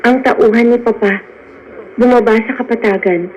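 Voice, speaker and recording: A woman acting in a Filipino radio melodrama as a gentle, devoted young sweetheart, emotional and pleading. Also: on the high side, fairly even intonation, normal speed, slight background noise, close to an everyday voice. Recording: dull lo-fi radio sound.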